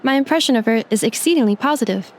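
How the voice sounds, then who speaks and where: Formal young robot girl; literal, clipped, slightly high. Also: expressive, fast. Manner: formal, precise, computer-like phrasing. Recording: game audio with music behind.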